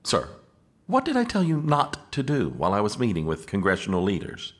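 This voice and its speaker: Guy doing a weary sardonic aide in a radio comedy, really deep voice, lots of up and down. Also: wildly swooping pitch, fairly quick. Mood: exasperated. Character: exasperated, long-suffering male political aide. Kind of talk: comedic audio drama acting.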